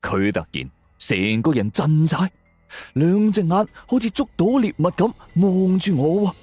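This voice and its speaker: A man's voice: on the high side, sing-song, measured pace, warm tone, breathy edge. A man with a Cantonese accent narrating a suspense story in the first person, in a dramatic scripted style. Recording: music bed under the voice.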